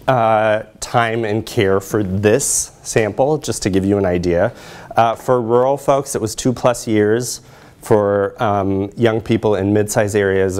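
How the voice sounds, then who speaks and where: A man's voice: on the low side, a little mellow, clear, resonant tone. A man in his thirties giving an academic research presentation in a conversational way, checking in with his audience. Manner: conversational, checks in with audience using short tag questions. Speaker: social work researcher and presenter. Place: lecture room, standing by projection screen.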